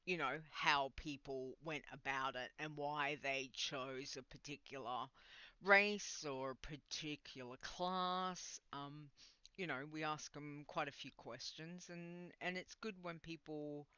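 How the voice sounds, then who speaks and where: A woman's voice: low voice, slow pace, pushed and bright, clean tone, no echo, muffled recording. A woman hosting a podcast in casual, rambling chat, sounding a bit congested and nasal. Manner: rambling and chatty, with laughs. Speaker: podcast host.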